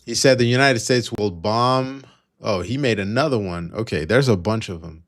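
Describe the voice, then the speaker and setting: A man's voice: on the low side, animated, normal speed. A man co-hosting a live trading stream with casual market commentary, thinking aloud in a hesitant way.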